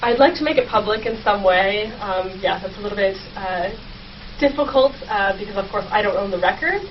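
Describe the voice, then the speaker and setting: A young woman researcher explaining her work as an interview guest, speaking thoughtfully and haltingly with short pauses. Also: medium pitch. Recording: dull sound, faint noise, distant mic.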